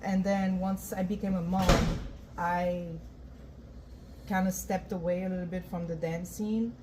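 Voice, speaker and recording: A woman from France, a guest dancer telling her story in a relaxed way, with many "you know" and "um". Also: lowish voice, measured pace. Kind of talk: casual interview talk. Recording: faint event noise.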